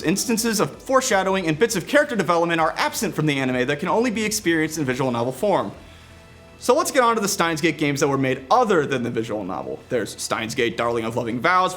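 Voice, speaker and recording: Male reviewer, higher voice, very animated intonation, scripted with casual asides, music underneath. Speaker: video game reviewer and show presenter.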